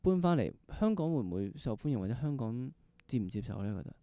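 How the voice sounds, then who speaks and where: A man's voice: mid-range voice, sing-song, quick pace, mellow, no room sound. A man with a Hong Kong Cantonese accent hosting a conversational interview, building up long, thoughtful questions. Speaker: interview host. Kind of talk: conversational theatre podcast interview.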